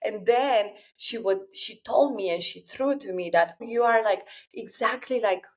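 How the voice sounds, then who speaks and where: A woman's voice: a little high, a little slow. A woman in her thirties from Romania with a Romanian accent, speaking English as a second language while hosting a solo podcast in an earnest, confiding way straight to her listeners. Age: 30s. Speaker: podcast host. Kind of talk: solo personal podcast monologue.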